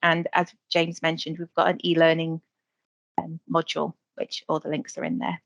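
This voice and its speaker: A woman presenting slides on a webinar video call, talking quickly with some fillers. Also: sits low, flat delivery, gravelly, fairly bright tone, no echo, dull audio. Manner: quick, slightly hurried delivery with fillers.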